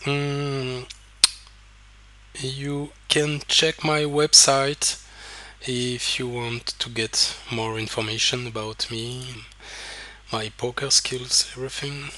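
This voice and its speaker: Man, French accent, poker coach, slow unhurried delivery, bright forceful tone, halting and repetitive phrasing. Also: mid-range voice. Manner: halting, repeats words while thinking aloud. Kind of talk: explaining hands over screen recording.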